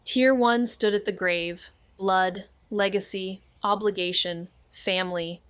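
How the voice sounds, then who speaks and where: An actress playing a perceptive young amateur sleuth in a noir audio drama, terse and deadpan. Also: female voice, medium pitch, slow, clear, resonant tone, no room sound, lightly acted. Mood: measured, brooding.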